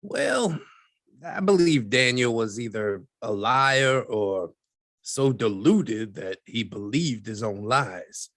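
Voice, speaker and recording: A man playing a skeptical, folksy newspaperman as a podcast guest, speaking in a measured, wry and slightly condescending way. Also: mid-range voice, animated, fairly bright tone, no room sound. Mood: wry skepticism. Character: skeptical, folksy, wryly dismissive male newspaperman. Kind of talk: scripted podcast interview drama.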